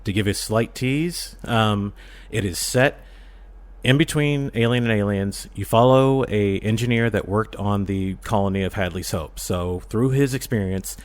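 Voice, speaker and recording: Male podcast guest, lower register, casual and expressive, acts out his asides. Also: normal speed. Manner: animated storytelling, acts out reactions. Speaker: podcast guest, audio drama creator and film reviewer. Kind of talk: casual chat.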